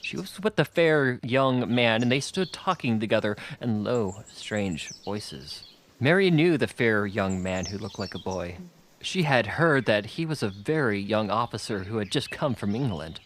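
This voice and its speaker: A man's voice: on the low side, lively intonation, measured pace, slight background noise. A man reading a children's novel aloud as scripted audiobook narration. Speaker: audiobook reader.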